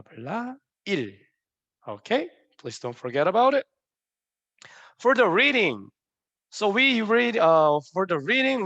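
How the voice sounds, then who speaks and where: A man's voice: high-pitched, fairly bright tone, no room sound, muffled recording. A young man from Korea with a Korean accent, a native Korean speaker teaching a language lesson in English, playful and quizzing his viewers with repeated questions.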